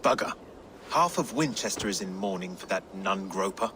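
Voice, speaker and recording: Salty, sardonic reeve over clear noise. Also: male voice, low voice, lively intonation, normal speed. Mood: indignant, scornful. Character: wry, foul-mouthed, defiant male medieval English reeve. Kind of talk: dramatic video game acting.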